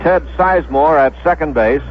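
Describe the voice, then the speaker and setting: An American man calling baseball on 1970s radio in a measured announcing manner, repeating names for clarity. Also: on the high side. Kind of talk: 1970s radio sports commentary, pregame lineups. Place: stadium broadcast booth. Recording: dull lo-fi vintage radio broadcast.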